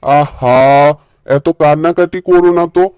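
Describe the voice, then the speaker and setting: A man's voice: on the high side, unhurried, darkish tone, clear, resonant tone, no room sound, studio quality, natural voice. A man voicing a weary, practical village fisherman husband in a cartoon. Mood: weary exasperation. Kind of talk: cartoon character dialogue.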